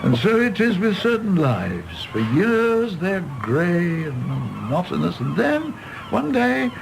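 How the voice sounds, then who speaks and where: An actor playing a world-weary, kindly, self-deprecating older doctor in a radio play, speaking in florid, expressive, drawn-out phrases. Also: male voice, high voice, exaggerated voice. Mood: tender. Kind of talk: British stage-play radio drama acting. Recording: clear background noise.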